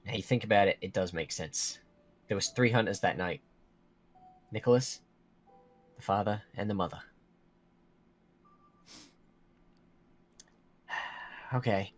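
A man's voice: lowish voice, close to an everyday voice. A man playing a worried, protective young werewolf in an improvised Minecraft roleplay, talking in a hesitant, explaining way. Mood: pondering. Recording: music under the voice.

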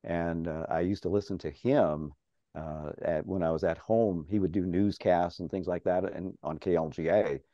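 Older man with a strong mellow voice. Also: low voice, normal speed, no room sound. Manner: chatty, animated, laughs often. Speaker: interview guest, radio farm broadcaster. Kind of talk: casual storytelling. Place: home office. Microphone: video call. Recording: dull video-call audio.

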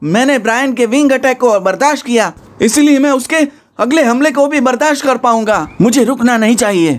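A man's voice: very high-pitched, lively intonation, fairly quick. A man voicing a determined teenage male anime fighter, intense and pleading.

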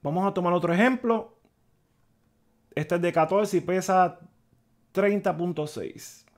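A man's voice: high voice, unhurried. A middle-aged man hosting a personal finance channel, talking casually and stammering, with English words mixed in. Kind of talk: casual explanatory talk over a spreadsheet. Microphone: voice-over on a screen recording.

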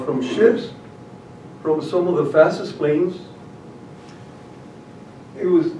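A man's voice: sits high, darkish tone, slight background noise. An older man with a Puerto Rican accent giving a public talk, telling stories with many fillers and restarts. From Puerto Rico. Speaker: guest speaker. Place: library meeting room. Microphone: far camera. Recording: roomy, distant, dull audio.

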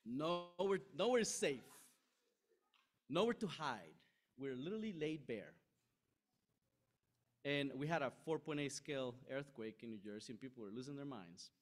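Young male preacher, conversational and animated, acts out quotes; church hall, podium mic. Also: mid-range voice, moderate pace, pauses often. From Costa Rica. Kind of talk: sermon. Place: large church hall with stage.

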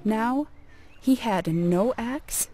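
A woman narrating a folk tale as a storyteller, in a measured and expressive storybook delivery. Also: fairly high pitch, faint music behind.

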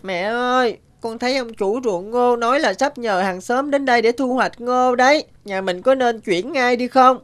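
A woman voicing an anxious, questioning young bird in an animated folk tale, earnest and fretful. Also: on the high side, moderate pace, hardly any pauses, close to an everyday voice. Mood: worried, puzzled. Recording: music behind the voice.

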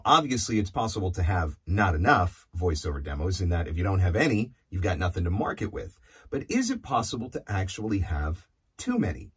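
Lively, slightly husky man coaching to camera. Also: on the low side. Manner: conversational, emphatic, poses rhetorical questions. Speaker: voiceover marketing coach. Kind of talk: instructional talk to camera. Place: small indoor studio or office. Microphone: lapel mic.

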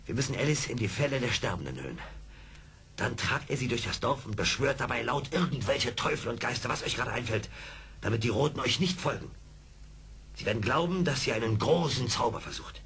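Shrewd frontier scout, measured and commanding, lively intonation, noisy old radio-play record. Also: male voice, mid-range voice. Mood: calm, decisive. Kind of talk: 1970s German radio play acting. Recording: old record, clear background noise.

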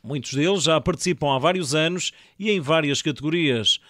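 A man's voice: fairly high pitch, brisk, a bit bright, no room sound, studio quality. A man with a European Portuguese accent reading scripted narration for a regional news report.

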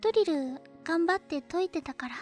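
Woman playing a very high-voiced shy schoolgirl. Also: lively intonation, normal speed, faint music behind. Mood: friendly. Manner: hesitant, soft-spoken. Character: shy, gentle sixth-grade schoolgirl. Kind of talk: voice drama acting.

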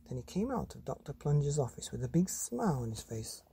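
A male storyteller reads a children's bedtime story expressively. His voice is mellow and very husky, with lively swings in pitch. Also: a little fast.

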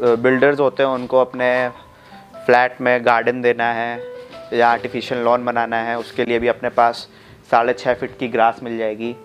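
A man in his thirties gives a matter-of-fact warehouse tour, reeling off products in a flat, even voice at a mid pitch. Faint music plays underneath. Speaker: wholesale mat supplier. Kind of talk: product walkthrough. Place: indoor warehouse.

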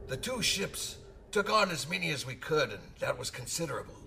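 Gravelly, animated old sailor. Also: male voice, fairly high pitch, a little fast, far from the mic, a little hiss or hum, exaggerated voice. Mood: reminiscing. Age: older. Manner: nautical old-salt talk, calls listener laddie. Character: talkative old sailor, hearty teller of sea tales. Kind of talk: radio drama acting.

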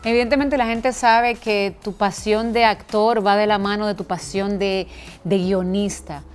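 A woman in her thirties hosting a TV interview, asking clear probing questions into a lapel mic in a studio. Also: mid-range voice, steady tone, unhurried, faint music behind. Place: indoor TV studio set with sofa.

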